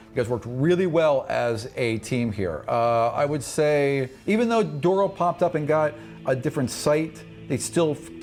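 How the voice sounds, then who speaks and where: Man, medium pitch, tabletop game master, podcast hosting, faint background music. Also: a little mellow.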